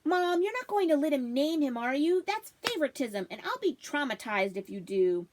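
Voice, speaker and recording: A woman's voice: high-pitched, very animated, normal speed, darkish tone, voice pushed up. A woman voicing a bossy, sulky boy, the older brother in a storybook, with a scornful, complaining manner. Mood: indignant.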